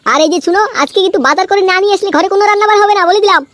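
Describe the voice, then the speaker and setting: A woman voicing a nagging, protective middle-aged village wife and mother in a comic cartoon, sharp-tongued and defensive. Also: extremely high pitch, very fast, no echo. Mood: scolding, indignant.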